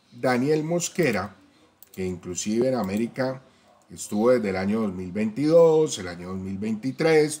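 A man hosting a football fan channel, talking straight to viewers about player stats and often checking in with a quick "¿ya?". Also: lowish voice, a little mellow. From Colombia. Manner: talks to viewers directly, tag questions, stats-heavy. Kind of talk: casual fan-channel commentary.

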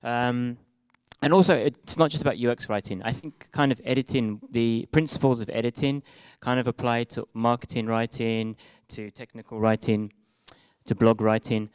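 A man's voice: medium pitch, steady tone, moderate pace, clear, resonant tone, no room sound, low-quality recording. A young man with a British accent giving a casual talk at a meetup, speaking into a handheld microphone, hesitant with many fillers and restarts. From Britain and Israel. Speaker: conference speaker. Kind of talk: live meetup presentation. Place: meetup room with projected slides.

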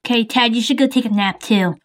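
A woman's voice: fairly high pitch, quick pace, lightly acted. A woman voicing a caring mother in a game roleplay, fussing over her kids. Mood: worried. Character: caring mom of the household.

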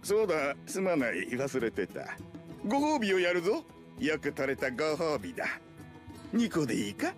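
Fast-talking sadistic anime villain. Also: male voice, a little high, huge pitch swings. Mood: sadistic glee. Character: sadistic, gleefully curious male villain, coaxing master. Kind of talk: Japanese anime acting. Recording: music behind the voice.

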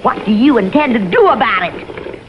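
An actress voicing a sharp-tongued sister-in-law in an old TV cartoon, scolding and biting. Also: female voice, fairly high pitch, sing-song, moderate pace, a little hiss or hum. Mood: threatening. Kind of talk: 1960s TV cartoon acting. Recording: muffled old TV soundtrack over music.

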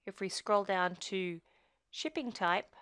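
Dry-sounding woman narrating a software tutorial. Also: medium pitch, smooth, no room sound. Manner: measured step-by-step instruction. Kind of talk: scripted screencast narration.

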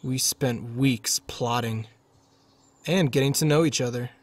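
Man acting an unhurried, bossy expedition leader. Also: mid-range voice, natural voice. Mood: reflective. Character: gruff, impatient leader of an expedition. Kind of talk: audio drama acting.

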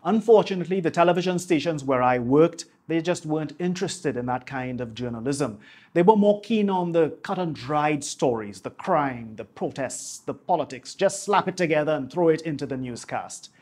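A man, presenting a scripted piece to camera in a polished, measured broadcaster's delivery on a lapel mic in a home office. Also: a little high, lively intonation, darkish tone. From Trinidad and Tobago. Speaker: YouTube host, marketing coach. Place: small home office, indoors.

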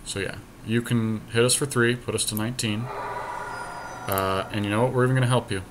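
A man's voice: fairly low pitch, steady tone, fairly quick. A man giving casual, slangy commentary on a game, thinking aloud as he goes. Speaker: podcast host playing a card game. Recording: clear background noise.

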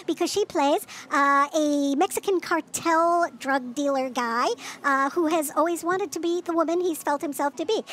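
High-voiced older woman reporting outdoors. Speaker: television film critic. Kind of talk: live news report. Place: outdoors by the seafront. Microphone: handheld reporter microphone. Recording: clear outdoor background noise.

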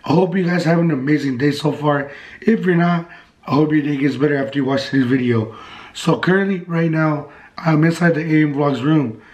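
Hoarse young man teasing on a vlog. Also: on the high side, moderate pace, darkish tone. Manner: mocking, goading. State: sick, losing his voice. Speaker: vlogger. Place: bedroom at home. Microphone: handheld camera mic.

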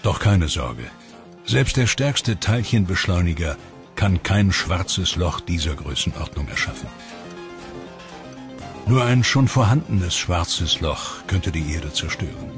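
A man reading scripted documentary narration in a measured, dramatic way. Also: low-pitched, expressive. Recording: voice-over with background music.

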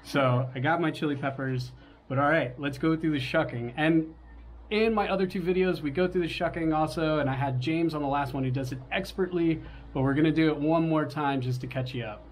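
A man's voice: a little high, a little fast, a little hiss or hum, poor audio. A man in his forties hosting a casual cooking vlog on an outdoor porch, upbeat and laughing often. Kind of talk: casual chat.

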